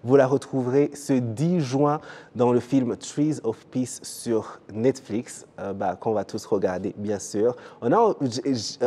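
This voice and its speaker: A man hosting a TV interview show in a relaxed, casual way, with frequent fillers and easy laughter. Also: mid-range voice, husky. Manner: easygoing, laughs often, many fillers. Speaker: TV show host.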